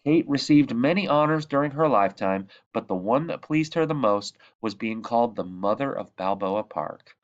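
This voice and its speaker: A man reading a children's book aloud as a narrator, with measured, expressive phrasing and emphasis on key words. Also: mid-range voice, dull audio. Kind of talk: read-aloud storybook narration.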